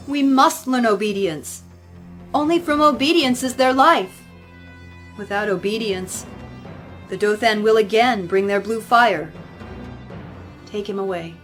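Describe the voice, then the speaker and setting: An actress playing a stern, zealous religious leader in an audio drama, speaking in a formal, commanding, preaching way. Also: female voice, mid-range voice, a little slow, long pauses, fairly bright tone, natural voice. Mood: cold, commanding. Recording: music playing behind the voice.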